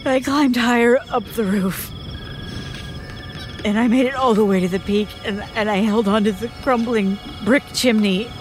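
A woman playing a terrified young female survivor in an audio horror drama, recounting events in a halting, haunted way with stammered repetitions. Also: on the high side, lightly acted, low-quality recording. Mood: haunted, despairing. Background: music.

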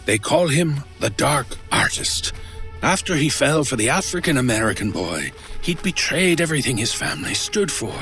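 A man's voice: medium pitch, sing-song, moderate pace, fairly bright tone, faint music behind. A man playing an exasperated, skeptical older antiques dealer in an old time radio drama, grumbling and dryly sarcastic. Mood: grave storytelling. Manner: grumbling, dry sarcasm, weary storytelling.

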